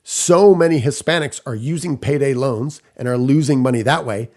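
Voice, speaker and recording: A man's voice: mid-range voice, normal speed, darkish tone. A man hosting a podcast, giving scripted business commentary with rhetorical questions and emphatic short phrases. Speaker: podcast host.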